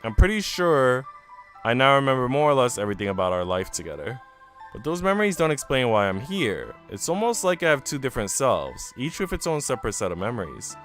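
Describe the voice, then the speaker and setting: A man's voice: medium pitch, sing-song, a little fast, fairly bright tone, faint music behind. A man live streaming, reading a visual novel aloud and dropping into casual, slangy asides to his chat. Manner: informal, slangy, chatty.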